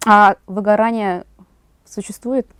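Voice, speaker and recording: A woman's voice: mid-range voice, steady tone, a little slow, fairly bright tone, clear, resonant tone. A woman working as an interviewer, putting prepared questions to a guest.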